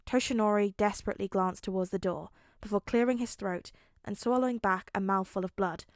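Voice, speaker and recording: A woman's voice: medium pitch, fairly even intonation, fairly quick, no echo, clean studio sound. A woman reading a fan story aloud as an audiobook, narrating expressively and voicing the dialogue. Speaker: podfic reader.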